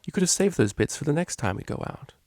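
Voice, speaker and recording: A man's voice: a little high, big pitch swings, fast talker, darkish tone, no room sound, studio quality, pitched above the performer's own voice. A man voicing a prim, polite and naive young female cellist pony in a storybook reading, with formal, proper diction. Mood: earnest, unsure.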